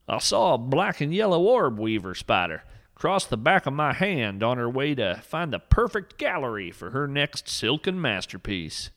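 A man's voice: mid-range voice, a bit bright. A man narrating a scripted story with dry, mock-serious humor.

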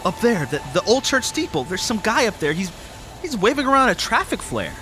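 A man's voice: high voice, sing-song, fairly quick, pushed and bright, faint music behind, exaggerated voice. An actor playing a flustered news cameraman in an audio drama, hesitant and stammering. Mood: startled.

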